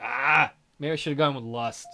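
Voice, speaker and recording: A man's voice: mid-range voice, steady tone, normal speed, sharp tone. A man hosting a let's play with casual, sweary, self-deprecating commentary.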